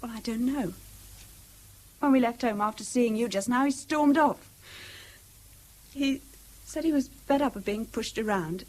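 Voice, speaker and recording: A woman's voice: on the high side, a little slow, long pauses, a little mellow, rough recording. An actress playing an earnest, troubled English schoolgirl in a British radio drama, hesitant, polite and confiding. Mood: worried, confiding. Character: earnest, troubled teenage schoolgirl, loyal and anxious.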